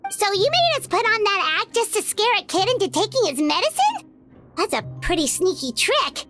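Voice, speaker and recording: A tiny childlike fairy sidekick for a video game, extremely high and squeaky. The little creature is excitable and blunt, exclaiming over faint background music. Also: female voice, normal speed, heavily acted. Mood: curious, teasing. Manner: squeaky, third-person self-reference, exclamatory. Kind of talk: scripted video game dialogue.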